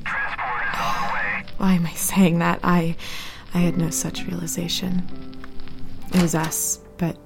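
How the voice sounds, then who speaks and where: A woman's voice: low voice, takes it slowly, creaky, long pauses, over loud music, full character voice. A woman playing an anguished, defiant teenage girl in love, reading her diary in a dramatic monologue. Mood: dreamy, tender.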